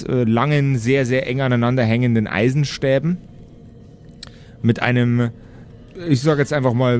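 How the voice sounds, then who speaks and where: A man's voice: mid-range voice, darkish tone, a little hiss or hum. A man narrating an improvised tabletop game as game master, searching for words and slipping in jokes. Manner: hesitant, searching for words, jokey.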